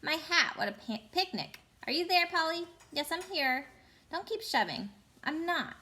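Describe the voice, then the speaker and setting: A woman's voice: very high voice, big pitch swings, normal speed, sharp tone, voice pushed up, heavily acted. A woman voicing a brave child adventurer in a storybook, speaking in urgent exclamations. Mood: exasperated surprise.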